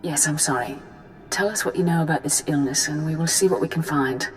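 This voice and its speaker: A woman's voice: sits low, a little fast, soft background music, lightly acted, rough recording. A woman voicing a stern, direct female Jedi master spirit in a video game, speaking formally. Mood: earnest, direct.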